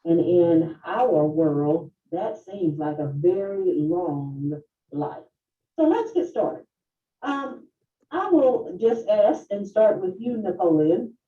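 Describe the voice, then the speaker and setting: A woman of fifty-five moderating a panel in a formal, deliberate and courteous way. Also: lowish voice, expressive. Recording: distant, roomy, dull lo-fi video sound.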